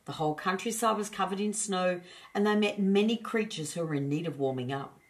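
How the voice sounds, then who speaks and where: A woman narrates a children's tale as a storyteller. Her voice sits a little low as she reads the scripted storybook aloud. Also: fairly quick.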